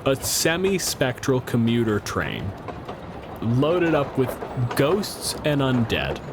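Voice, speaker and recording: A man's voice: medium pitch, huge pitch swings, takes it slowly, heavy background noise. A man improvising a tabletop game story as host, casual and joking, with profanity. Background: music.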